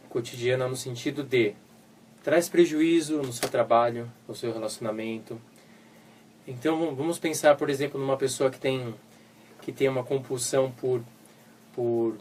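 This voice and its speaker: A man's voice: on the high side, darkish tone, distant mic, slight background noise. A Brazilian man co-hosting a live web radio show, recovering from a flu, explaining with everyday examples. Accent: Brazilian Portuguese. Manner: informal, explains with everyday examples and voiced asides. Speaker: co-host psychologist.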